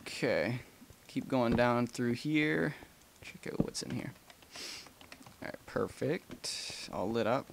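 A man's voice: mid-range voice, expressive, slow pace, vocal fry, pauses often. Chatty young man with a noisy mic. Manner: rambling and chatty, many ums, gasps and laughs while playing. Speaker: gaming channel host. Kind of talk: casual let's play commentary.

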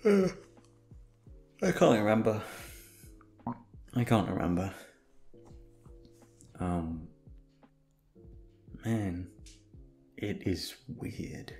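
A man's voice: on the low side, very animated, drawn-out words, long pauses, a little mellow, soft background music. A man chatting casually to his listeners as a voice actor, in an informal, rambling way with some swearing. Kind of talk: casual chat.

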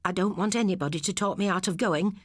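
A woman's voice: mid-range voice, fast talker, no echo, clean studio sound, close to an everyday voice. A woman voicing a moody, sulky runaway schoolboy in an audiobook story. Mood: sulky, defensive. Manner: sulky, defensive.

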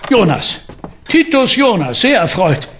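An actor playing a good-natured, jovial middle-aged uncle who sells junk in a radio play. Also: male voice, high-pitched, very animated, measured pace, fairly bright tone, slight background noise, full character voice.

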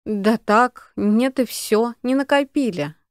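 A man voicing a meek, plaintive wife and mother in a put-on high female voice, in a satirical audio story. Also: takes it slowly, no room sound, clean studio sound. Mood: apologetic.

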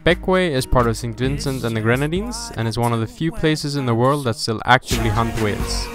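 Calm man narrating over music. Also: medium pitch, a little fast. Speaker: sailing vlogger narrator.

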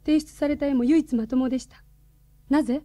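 Radio drama role, female actor: formal, polite, earnest woman, high register, soft dark tone, very dry sound. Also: fairly even intonation, a little fast, dry recording, natural voice. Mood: serious inquiry. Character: serious, courteous woman questioning a schoolboy.

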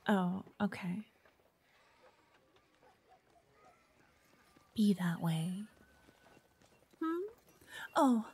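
Woman acting a soft-voiced, pushy, obsessive fangirl. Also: mid-range voice, husky, no room sound, full character voice. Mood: flirty, coaxing. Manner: chatty, coy, insistent, slipping into whispers. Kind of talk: ASMR roleplay.

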